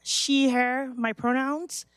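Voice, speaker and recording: Woman from ghana, kinda high voice, dry close mic, relaxed intro. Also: slow, dry recording. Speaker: first-year medical student.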